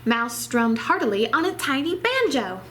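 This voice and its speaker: A woman's voice: high-pitched, sing-song, moderate pace, fairly bright tone, a little hiss or hum. A woman reading a children's story aloud expressively, as a library storytime reader.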